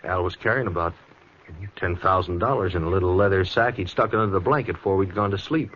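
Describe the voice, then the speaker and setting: An American actor playing an anxious, earnest cowhand with folksy speech in a 1950s radio western. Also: male voice, deep voice, quick pace, fairly bright tone. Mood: anxious explaining. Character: agitated cowhand reporting trouble to the marshal. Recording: muffled, faintly noisy 1950s radio recording.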